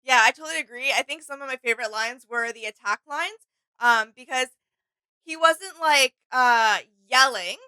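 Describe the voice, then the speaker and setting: Clear, bright-voiced woman in casual chat. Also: high-pitched, moderate pace, no room sound, rough recording. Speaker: reaction video co-host.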